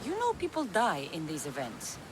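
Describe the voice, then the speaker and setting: A wry, sardonic mercenary. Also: female voice, on the low side, normal speed, background noise. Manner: dry, wry, deadpan remarks. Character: dry, sardonic female mercenary. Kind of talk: video game cutscene acting.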